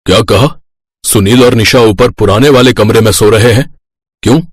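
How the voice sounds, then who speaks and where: A man voices a fair-minded father of grown sons in a cartoon story. He is stern, with a lowish, husky voice recorded dry. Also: moderate pace, no room sound. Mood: indignant.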